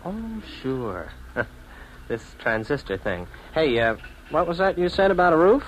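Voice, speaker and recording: A man's voice: a little high, big pitch swings, a little slow, slight background noise, rough recording. An actor with an American accent playing a lonely city man in a 1950s radio drama, narrating his own awkward romance. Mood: puzzled, awkward. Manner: hesitant and awkward in dialogue. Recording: vintage 1950s radio broadcast, music behind.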